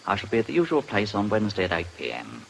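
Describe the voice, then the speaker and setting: An actor playing a smug, jaunty English blackmailer in a radio drama, reading out a letter in a breezy, insinuating way. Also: male voice, fairly low pitch, wildly swooping pitch, quick pace, vocal fry, slight background noise, heavily acted. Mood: smug, menacing. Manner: breezy, insinuating, drawling hesitations.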